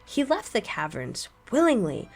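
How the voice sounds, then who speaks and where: An actress playing a chatty, wide-eyed young circus performer in a radio drama. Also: female voice, mid-range voice, huge pitch swings, normal speed, vocal fry, soft background music, full character voice. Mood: earnest.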